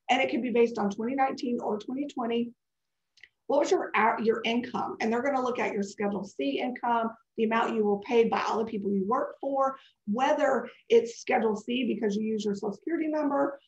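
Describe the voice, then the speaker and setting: Brief: congested, slightly high-voiced woman in her forties, chatty webinar presenter, dull roomy video-call sound. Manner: chatty and digressive, answering questions. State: congested and scratchy. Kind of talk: live webinar talk. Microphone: webcam video call.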